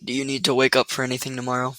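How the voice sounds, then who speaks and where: A man's voice: medium pitch, monotone, racing, bright, forceful tone, lightly acted, poor audio. An actor playing a wry young man with dry, teasing humor who looks after his sick date in a radio play.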